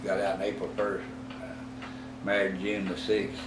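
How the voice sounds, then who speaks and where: A man in his eighties, slowly recalling his past in an interview, rambling and halting with many fillers and self-corrections. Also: low voice, completely flat delivery. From Texas. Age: 80s. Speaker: oral history interviewee. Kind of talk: reminiscing in a recorded interview. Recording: roomy, distant mic with clear background noise.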